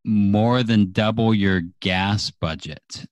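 Low-voiced guy cohost asking questions, dry close sound. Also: slow, clear, resonant tone, dry recording. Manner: reacts briefly and asks the co-host questions. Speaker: podcast co-host. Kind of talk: casual chat.

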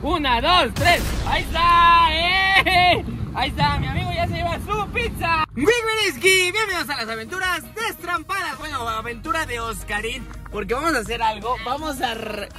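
A man's voice: very high voice, lively intonation, normal speed, over music. A young man with a Mexican accent hosting a playful street vlog, hyping up strangers like a showman. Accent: Mexican Spanish. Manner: loud, playful, showman hype. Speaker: vlogger. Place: outdoors on a city street and in a van. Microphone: lapel mic.

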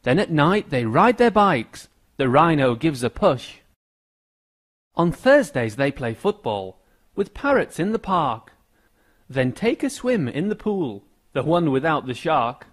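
Lively, higher-pitched American male narrator. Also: a little slow. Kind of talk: scripted rhyming children's narration.

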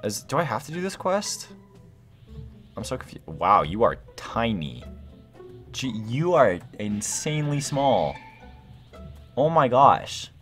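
A young adult man gives casual gameplay commentary, excitable and slangy as he thinks aloud. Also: medium pitch, takes it slowly, long pauses, soft background music. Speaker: gaming video host.

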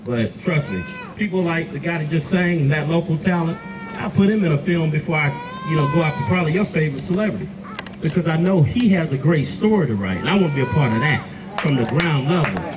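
A man delivers an earnest, encouraging talk into a handheld mic on an outdoor stage. His fairly high voice is picked up from a distance by the camera, with steady outdoor noise underneath. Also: quick pace. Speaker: event speaker. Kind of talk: motivational speech to youth. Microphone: handheld mic, far camera.

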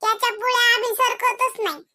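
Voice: extremely high pitch, background noise. A performer voicing a cheeky scheming schoolboy in a comedy cartoon, with a Varhadi Marathi accent and a theatrical, exaggerated delivery. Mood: mock praise. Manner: theatrical, exaggerated, drawn-out words. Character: cheeky scheming schoolboy son, mock-earnest flatterer. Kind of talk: comedy cartoon monologue and dialogue.

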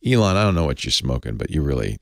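A man's voice: rumbling low, big pitch swings, fast talker, gravelly, a little hiss or hum. An older man hosting a radio show, making wry, joking commentary. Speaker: radio host.

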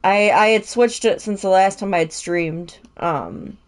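A woman's voice: mid-range voice, lively intonation, unhurried. Chatty, swearing young woman streamer. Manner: chatty, swearing, laughing asides. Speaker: streamer playing a game. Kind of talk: casual live-stream chat. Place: home, indoors.

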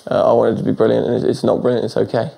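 A man's voice: medium pitch, completely flat delivery, rapid-fire, vocal fry, noisy background. A young man in his twenties, tired and anxious, talking candidly at home during a house renovation. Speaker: renovating homeowner. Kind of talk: candid reality TV talk. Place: indoors at home.

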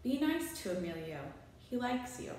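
A woman voicing a calm, patient man reasoning gently with a child in a storybook. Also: fairly high pitch, normal speed, a little hiss or hum. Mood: gentle. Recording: very echoey small bare room.

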